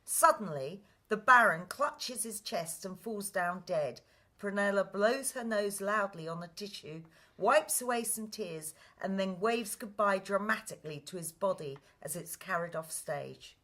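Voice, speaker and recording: Bright, expressive woman narrator. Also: mid-range voice, unhurried. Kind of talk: scripted stage-direction narration for radio play.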